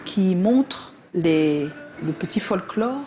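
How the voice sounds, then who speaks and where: A woman hosting a talk show, animated and laughing as she prompts her guest. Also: fairly low pitch, slow, faint music behind. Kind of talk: lively studio chat.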